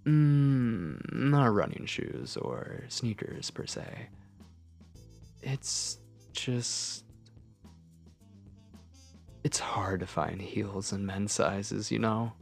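A man's voice: lowish voice, extremely slow, gravelly, long pauses, soft background music, heavily acted. A man playing a shy, obsessive lovestruck man on a date in an ASMR roleplay, speaking in a hesitant, intimate, flustered way. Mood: awkward, bashful.

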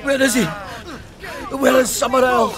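An actor playing a dazed, distraught police sergeant in an audio drama, halting and strained. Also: male voice, level tone, crowd noise. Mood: horrified, dazed. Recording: noisy rumbling background.

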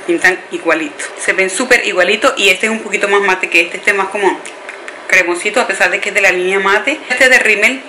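A chatty woman with a Colombian Caribbean coast accent talks straight to camera from a room at home. Her voice is very bright and sharp. The room sounds echoey, with a faint hiss behind her. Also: mid-range voice. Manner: chatty, warm address to viewers as "bellezas", emphatic questions. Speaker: beauty vlogger. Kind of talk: casual chat to camera. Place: home room with shelves and clothes rack, indoors. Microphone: camera in front at close range.

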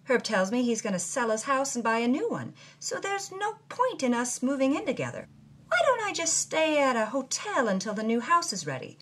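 A woman's voice: sits high, big pitch swings, moderate pace, fairly bright tone, a little hiss or hum. A woman playing a brash, wronged B-movie starlet from the 1950s, telling her memoir in wry, theatrical first-person narration for an audio drama. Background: music.

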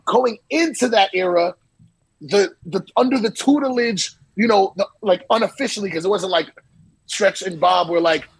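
A man in his forties joins the show by video call from a small room at home, with a raised, gravelly and somewhat husky voice. He tells stories in an animated, unfiltered way, swearing and circling back over his words. The audio is close and dry. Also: high voice, moderate pace, no room sound, low-quality recording. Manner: animated, hand-waving storyteller, swears freely and repeats himself. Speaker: DJ and interview guest. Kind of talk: casual podcast interview.

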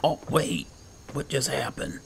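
A man's voice: mid-range voice, big pitch swings, measured pace. A man doing improvised in-character roleplay commentary over a video game, muttering as he talks to himself. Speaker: gaming channel host. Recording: clear background game noise.

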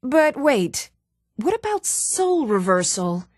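Scatterbrained, animated woman mage. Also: high voice, takes it slowly, dry recording, studio quality, heavily acted. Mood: excited. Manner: absent-minded, emphatic, self-questioning. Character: scatterbrained, excitable woman mage absorbed in magical experiments. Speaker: video game voice actress. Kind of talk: fantasy game character dialogue.

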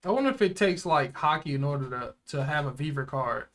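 A man's voice: fairly high pitch, lively intonation, a little fast, a bit bright. A young American man giving casual, slangy, playful reaction commentary. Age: young adult. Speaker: anime reaction show host. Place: small indoor room. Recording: music in the background. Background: anime episode music.

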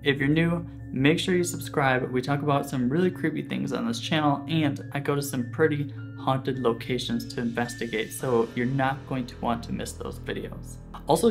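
A man's voice: medium pitch, creaky. American man vlogging over background music. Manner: conversational, informal. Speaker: paranormal vlog host. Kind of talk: casual storytelling to camera. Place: small indoor room set. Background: background guitar music.

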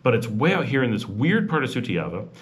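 A man's voice: mid-range voice, big pitch swings, fairly quick. A man hosting a vlog, narrating a walking tour in a casual voice-over that rambles with many fillers and restarts. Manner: chatty and informal. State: rushed. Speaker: vlog host.

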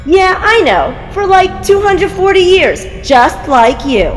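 An actress playing the sarcastic, defiant ghost of a murdered officer's wife in an audio drama. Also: female voice, extremely high pitch, sing-song, slow, full character voice. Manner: sassy, catty. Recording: long ghostly reverb, music underneath.

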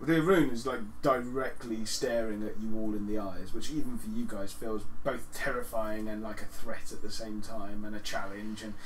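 A man's voice: lowish voice, fairly even intonation, moderate pace, few pauses. Echoey male game master narrating. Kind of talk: improvised tabletop game narration. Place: living room with several players. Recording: echoey living room, faint background noise.

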